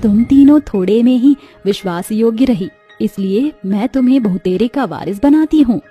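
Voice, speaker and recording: A woman's voice: fairly high pitch, expressive, normal speed, mellow, breathy edge, soft background music. A woman voicing a benevolent magical golden plate in a cartoon fable, solemnly granting blessings.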